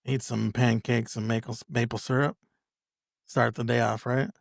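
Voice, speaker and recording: A man with a gravelly, creaky voice talks casually as a podcast guest. His pitch is ordinary for a man, he tends to repeat himself, and the recording is dry. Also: flat delivery, normal speed, no echo. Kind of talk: casual sports chat.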